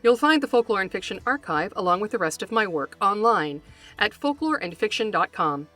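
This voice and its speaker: A woman podcast host reading scripted educational narration in a measured, articulate, scholarly manner, close to the microphone. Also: mid-range voice, animated, bright, forceful tone, soft background music. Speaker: podcast host, folklore scholar and fiction writer.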